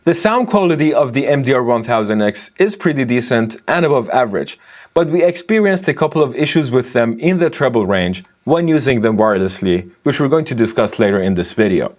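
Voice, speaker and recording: A man narrating a scripted technical audio review in an explanatory, measured manner. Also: mid-range voice, expressive, darkish tone.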